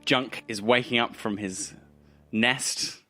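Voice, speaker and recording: A man's voice: mid-range voice, fairly even intonation, slow pace, bright, forceful tone, soft background music. A man narrating a tabletop roleplaying game as game master, setting scenes descriptively.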